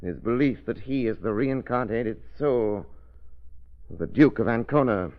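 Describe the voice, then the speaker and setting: A man playing a calm, shrewd gentleman detective in a 1930s radio drama, speaking in a measured, deliberate way. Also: medium pitch, lightly acted. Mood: suspicious. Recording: dull old radio broadcast.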